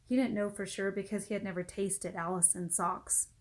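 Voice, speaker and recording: A woman's voice: mid-range voice, steady tone, normal speed. Woman reading a children's book. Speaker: storybook reader. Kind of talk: children's book read-aloud, expressive narration.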